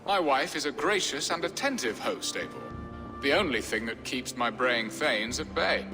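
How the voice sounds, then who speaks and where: A man's voice: mid-range voice, sing-song, normal speed. An actor playing a wry, middle-aged nobleman in a video game, with a theatrical, sardonic, flowery way of speaking. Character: wry, florid middle-aged English nobleman, weary husband. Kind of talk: video game cutscene acting. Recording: long hall reverb, music behind.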